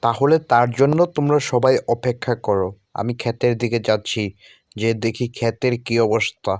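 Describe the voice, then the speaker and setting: A man's voice: medium pitch, moderate pace, a little mellow, no echo, lightly acted. A man playing an earnest, worried village farmer and husband in a Bangla cartoon. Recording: music under the voice.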